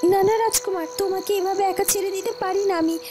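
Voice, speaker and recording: A woman's voice: extremely high pitch, fairly even intonation, fairly quick, background noise. A woman voicing a devoted young fairy tale heroine in a cartoon, earnest and pleading.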